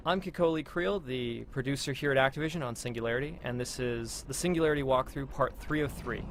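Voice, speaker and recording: A man's voice: fast, vocal fry. A man presenting a game walkthrough as its producer, in scripted demo narration. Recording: noisy low-quality promotional video audio.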